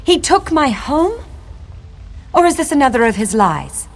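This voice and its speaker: A woman's voice: high voice, very animated, measured pace, exaggerated voice. An actress playing a noble elven lady in a video game, long imprisoned and yearning for home, speaking in formal theatrical diction. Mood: distraught. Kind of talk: video game character acting.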